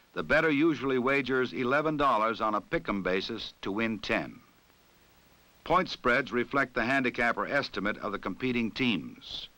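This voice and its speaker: An American man narrates a 1960s law-enforcement training film in a bright, forceful voice. His delivery is formal and measured, with the authority of a scripted documentary. The sound is dry and close, with no room around the voice. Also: mid-range voice, no echo, rough recording.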